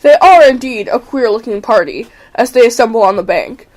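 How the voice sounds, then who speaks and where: A woman's voice: fairly high pitch, animated, fairly quick, darkish tone. A female narrator reading scripted storybook narration for an amateur radio play. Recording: faintly noisy amateur recording.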